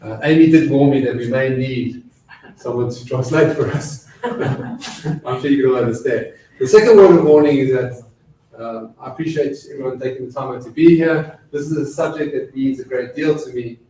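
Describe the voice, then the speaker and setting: A man's voice: mid-range voice, normal speed, mellow, slight background noise. Middle-aged south african guy with some british in his accent, chatty guest lecture, um-heavy, sounds far off the mic in a big echoey room. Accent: South African with British influence. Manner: chatty, self-deprecating, frequent fillers. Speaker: guest speaker. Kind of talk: lecture presentation.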